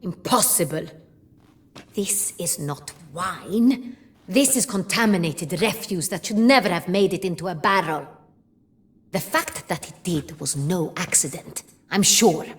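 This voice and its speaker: Imperious, sharp-tongued duchess. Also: female voice, fairly low pitch, animated, unhurried, husky. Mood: cold, disappointed. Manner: formal, commanding, royal diction. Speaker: video game character. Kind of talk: dramatic video game acting.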